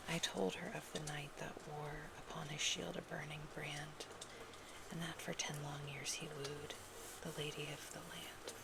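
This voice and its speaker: An ASMR performer reads love poetry in a soft, intimate, unhurried whisper that is breathy with creaky edges. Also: medium pitch, heavy background noise. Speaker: ASMR performer reading love poems in a roleplay.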